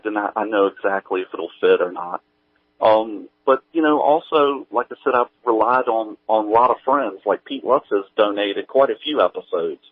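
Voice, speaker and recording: A man speaking as an interview guest, chatting casually in a halting, folksy, informal way. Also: mid-range voice, dry recording. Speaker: guest, audio drama creator. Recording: tinny, phone-like band-limited line.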